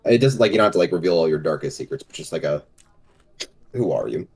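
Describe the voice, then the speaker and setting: A man running a tabletop game as game master over a voice call, relaxed and joking. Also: low-pitched, fast talker, husky. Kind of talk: casual tabletop game session.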